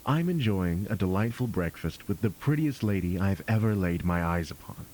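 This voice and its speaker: A man's voice: low voice, lively intonation, normal speed, darkish tone, poor audio. A man playing a charming, confident, protective boyfriend in an audio drama. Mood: charming, smooth.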